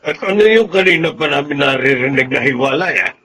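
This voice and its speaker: A man's voice: on the high side, lively intonation, fairly quick, pushed and bright, slight background noise. A man acting a stern, scolding older father in a radio drama. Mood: stern, reproving.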